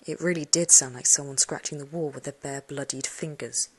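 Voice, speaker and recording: A woman reading a children's suspense story aloud, expressively, with dramatic hushed moments. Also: low voice, steady tone, fairly quick, husky. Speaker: audiobook narrator. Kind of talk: dramatic first-person storybook narration for children.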